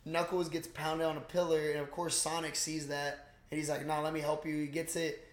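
A young man co-hosting a podcast, recapping a movie in casual, slangy, excitable talk with plenty of swearing. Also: fairly high pitch, a little fast. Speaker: podcast host. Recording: roomy, echoing room sound.

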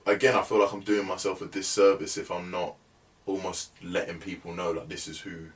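Young man, lowish voice, laid-back guest, a bit off-mic. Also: fairly quick, distant mic. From England. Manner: relaxed, chatty, many fillers like "you know what I mean". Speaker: musician guest. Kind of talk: casual podcast interview.

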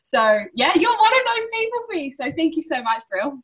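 A woman's voice: high-pitched, big pitch swings, fairly quick, a bit bright. A young woman hosting a podcast interview, bubbly and effusive, full of gushing praise for her guest. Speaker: podcast host. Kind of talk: casual interview.

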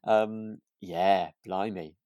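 A man's voice: deep voice, fairly even intonation, drawn-out words, dark tone, no echo, muffled recording. A man co-hosting a casual podcast about a radio drama, talking in a hesitant, rambling way. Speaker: podcast co-host.

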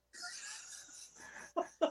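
A man's voice: extremely slow, sharp tone, no room sound, in a whisper, studio quality. A man in his late thirties co-hosting a podcast, rambling and joking crudely in casual banter. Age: 30s. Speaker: podcast co-host.

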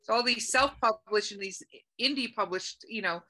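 A woman's voice: medium pitch, normal speed, sharp tone, rough recording. A woman hosting a podcast, chatty and joking as she interviews an author. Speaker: podcast host.

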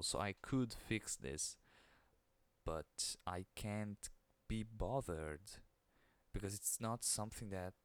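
A man with an Italian accent hosting a podcast alone, keeping his voice low and soothing, with an occasional stutter into the microphone. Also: clean tone, dry recording. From Italy. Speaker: podcast host. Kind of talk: casual solo monologue.

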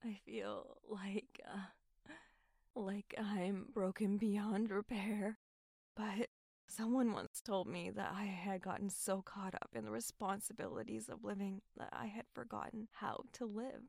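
A woman playing a shaken but defiant young survivor in an audio drama, halting and emotionally raw. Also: medium pitch, fairly even intonation, creaky, darkish tone, no room sound, clean studio sound. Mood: grieving.

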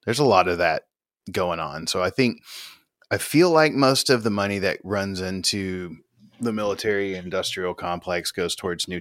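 A man talks casually on a podcast. His voice sits low, and he rambles through strong opinions, swearing freely. The sound is dry and close. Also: a bit bright, no room sound. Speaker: podcast co-host. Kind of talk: casual chat.

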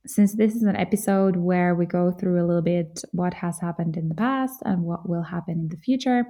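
A woman's voice: on the low side, moderate pace, dark tone. A woman from Finland hosting a podcast, telling her story in an earnest, reflective way. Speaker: podcast host. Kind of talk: solo podcast monologue.

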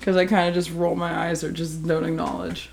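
A woman's voice: low-pitched, flat delivery, brisk, creaky, a little mellow. A woman chatting casually, swearing a lot, rambling with fillers and giggling often. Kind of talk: casual chat.

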